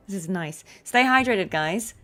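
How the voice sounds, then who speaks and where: A woman's voice: medium pitch, lively intonation, a little slow, pushed and bright, soft background music. A young woman, a voice actor, chatting casually while streaming a game, thinking aloud with lots of fillers.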